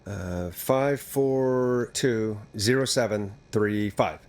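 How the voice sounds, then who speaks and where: An actor playing a steady, reassuring but urgent radio host in a horror game drama. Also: male voice, fairly low pitch, extremely slow. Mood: informative. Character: calm, earnest male 1980s radio DJ in crisis.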